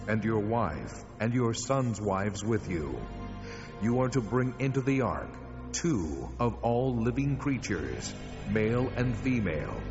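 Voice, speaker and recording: God in an audio bible drama, deep, solemn and commanding, big echo. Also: male voice. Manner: solemn, deliberate, authoritative. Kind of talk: dramatized scripture acting. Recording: dull cavernous reverb, music behind. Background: music.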